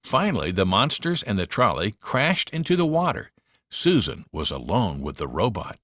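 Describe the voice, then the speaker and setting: A man narrating a children's read-along storybook in an expressive, dramatic manner. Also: fairly low pitch, moderate pace, no room sound.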